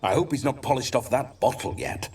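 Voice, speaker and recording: An actor playing a jovial, roguish drinking companion in a fantasy video game. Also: male voice, medium pitch, fairly quick, lightly acted. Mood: amused, eager. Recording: distant voice with clear noise.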